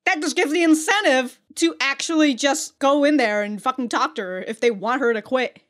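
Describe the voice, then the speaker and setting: A woman's voice: high-pitched, moderate pace, a bit bright. A woman giving casual reaction commentary as a video host, blunt and jokey, with slangy, sweary talk.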